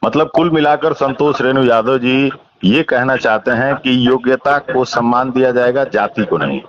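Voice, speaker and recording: A man's voice: mid-range voice, normal speed. A man interviewing someone by phone, following attentively with short acknowledgements, summaries and questions. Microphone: phone call. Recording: dull lo-fi phone call recording.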